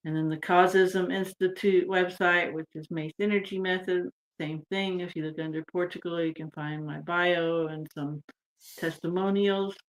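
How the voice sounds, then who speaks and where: A woman from Texas speaks as a podcast guest, her voice sitting on the low side. She talks in a relaxed, meandering way, trailing off and filling gaps with ums. She sounds like she is on a video call. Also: moderate pace, low-quality recording. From United States (Texas). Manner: relaxed and rambling, trailing off with fillers. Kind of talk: casual interview chat.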